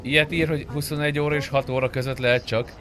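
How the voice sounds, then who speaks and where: Male gamer, medium pitch, informal and questioning in casual game chat, slight background noise. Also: fairly even intonation. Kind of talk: casual chat during online roleplay gameplay.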